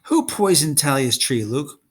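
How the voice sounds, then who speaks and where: A man's voice: a little high, lively intonation, a little slow. A man reading a storybook in the part of a bold young boy hero, blunt and challenging. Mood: accusing.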